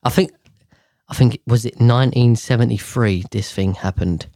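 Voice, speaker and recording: A man's voice: mid-range voice, moderate pace, warm tone, no room sound, clean studio sound. A man ranting casually to camera, profane and exasperated, rambling with sighs and restarts.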